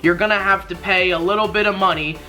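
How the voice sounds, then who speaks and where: A man's voice: sits high, steady tone, quick pace, bright, forceful tone, soft background music. A young man hosting a casual step-by-step tutorial in an informal, rambling, slangy way, recorded indoors in a small room. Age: young adult. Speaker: host of a tech tutorial.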